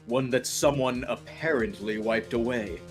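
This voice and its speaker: A man's voice: mid-range voice, a little slow, natural voice. A man voicing a grave, formal man of the law in a fan dub of a courtroom game. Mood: grave, solemn. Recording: music under the voice.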